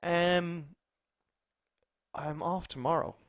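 A man's voice: a little high, steady tone, extremely slow. An Irish man co-hosting a casual podcast, chatting with short interjections and light teasing. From Ireland. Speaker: podcast co-host. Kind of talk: casual chat.

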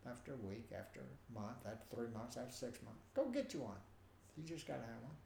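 A man's voice: medium pitch, brisk, creaky. Older nasal-voiced man telling stories. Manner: animated, acts out quoted voices. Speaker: guest. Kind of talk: storytelling in a conversation. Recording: roomy with faint ambience.

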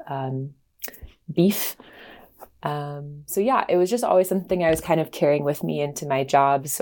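A woman, a sustainability consultant, explaining her career thoughtfully with frequent pauses. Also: low-pitched, a little mellow. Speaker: sustainability consultant, studio co-lead. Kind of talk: casual interview answers.

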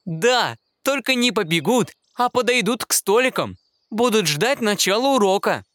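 A bossy little magpie chatters away, lecturing everyone around her, as a man puts her on in a storybook reading. The voice sits well up high and hops lively from note to note. The recording is close and dry. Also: moderate pace, no room sound. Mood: explaining. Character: chattering self-important female magpie, school bell-keeper. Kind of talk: storybook character voice.

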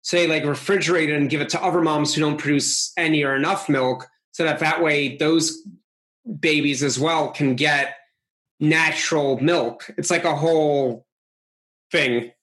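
A man born in Russia talks as a comedy podcast guest. His voice sits a little high, and he rambles through his explanations before landing his jokes deadpan. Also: normal speed. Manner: rambling, deadpan joke delivery. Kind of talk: casual podcast banter.

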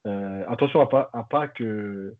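A man on a football podcast panel giving a relaxed, joking opinion, thinking aloud as he goes. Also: lowish voice, unhurried. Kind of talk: casual opinion chat. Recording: tinny phone-like line.

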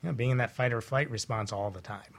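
A man speaking as a chiropractor guest on a talk show, explaining in a measured, halting conversational way. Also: medium pitch, vocal fry.

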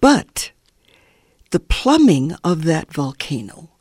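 An older woman from Hawaii giving a thoughtful interview in a measured, deliberate way, pausing between short phrases. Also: low-pitched, sing-song, darkish tone, dry recording, studio quality. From Hawaii, United States. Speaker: interview guest, cultural anthropologist and elder.

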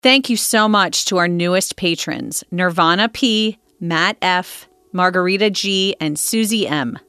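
A woman's voice: lowish voice, a little slow, bright, forceful tone, clear, resonant tone, no echo, soft background music. A woman hosting a podcast interview, upbeat and encouraging as she asks questions. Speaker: podcast host.

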